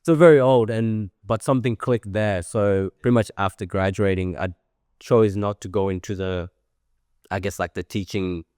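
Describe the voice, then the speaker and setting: A man's voice: on the low side, steady tone, normal speed, darkish tone, clean tone, no echo, clean studio sound. A man in his thirties whose first language is French, talking as an interview guest in a chatty, rambling way with many fillers. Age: 30s. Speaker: guest, creative director. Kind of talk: casual interview.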